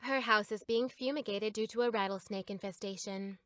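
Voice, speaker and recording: A woman's voice: mid-range voice, steady tone, normal speed, fairly bright tone, no room sound, studio quality, natural voice. A woman voicing a blunt, casual teenage big sister in a cartoon, firm and matter-of-fact.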